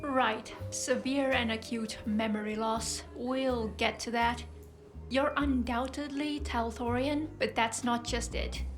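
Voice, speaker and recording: Woman playing an articulate, high-voiced clinical scientist. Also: fairly even intonation, slow pace, soft background music. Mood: explanatory. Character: coolly fascinated woman scientist-healer of a long-lived species. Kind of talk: audio drama acting.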